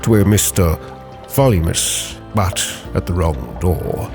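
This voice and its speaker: A man voicing a furtive, courteous informant who speaks in a hushed, formal, cryptic way for a game story. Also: low-pitched, slow pace. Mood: hushed, confiding. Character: furtive, courteous male manuscript-keeping informant, gravely cryptic. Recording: music bed behind the voice.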